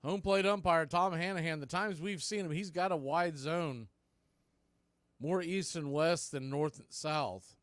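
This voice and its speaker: Man, slightly high voice, baseball announcer, clipped play-by-play phrasing, dry close sound. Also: fairly bright tone, no room sound. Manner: clipped phrases with pauses between calls. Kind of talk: live sports radio play-by-play commentary.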